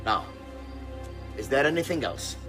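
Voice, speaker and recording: A man playing a cold, commanding conspiracy master with a measured, imperious manner in a game cutscene. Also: medium pitch, big pitch swings, over music. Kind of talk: dramatic video game cutscene acting.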